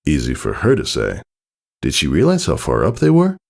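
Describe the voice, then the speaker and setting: A man's voice: extremely low pitch, huge pitch swings, moderate pace, gravelly. A man reading scripted fiction aloud as a dramatic storyteller. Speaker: fan fiction narrator. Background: music.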